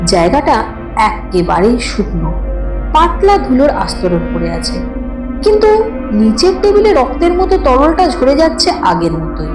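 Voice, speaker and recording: A woman's voice: high voice, normal speed. Woman reading a spooky story all dramatic n suspenseful, echoey and a bit far off, music behind. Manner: expressive, suspenseful delivery. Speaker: narrator of a horror audio story. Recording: roomy echo, distant voice, background music.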